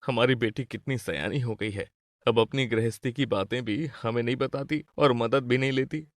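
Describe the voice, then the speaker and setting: An actor playing a caring, gentle old grandfather in a cartoon moral story. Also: medium pitch, moderate pace, no echo, clean studio sound, close to an everyday voice. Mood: concerned. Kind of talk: acted Hindi moral-story cartoon dialogue.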